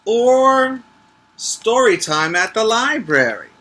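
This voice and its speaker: A man who teaches preschool, reading a picture book aloud emphatically, stretching key words and pausing to explain things to the children. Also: high-pitched, big pitch swings, pushed and bright. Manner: instructive, checking in with listeners.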